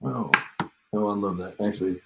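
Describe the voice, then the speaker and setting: A man's voice: low voice, moderate pace, vocal fry, highs cut off, noisy background. A man co-hosting a podcast, rambling and joking in casual chat, drifting off mid-thought, with an echo on his home mic. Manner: joking, meandering, trails off. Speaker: podcast co-host.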